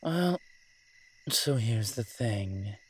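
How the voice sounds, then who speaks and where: A man's voice: on the low side, lively intonation, drawn-out words, slightly rough, full character voice. A man playing a roguish incubus demon in a roleplay audio drama, talking in a sly, teasing, conversational way. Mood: scheming. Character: smooth-talking roguish male incubus demon, playful and scheming.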